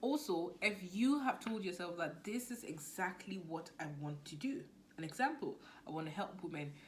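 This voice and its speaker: A young woman with a Nigerian accent talking casually to camera as a business coach, lively and now and then dropping to a near-whisper. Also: lowish voice, normal speed, a little hiss or hum. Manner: chatty, animated, drops to near-whisper asides.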